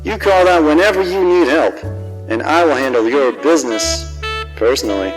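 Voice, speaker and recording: A man's voice: a little high, animated, moderate pace, natural voice, low-quality recording. Man playing a wisecracking noir private eye over music. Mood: flirtatious, cocky. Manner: smooth-talking, wry, swaggering. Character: cocky, wisecracking 1940s hard-boiled male private detective. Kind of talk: noir radio drama narration and dialogue.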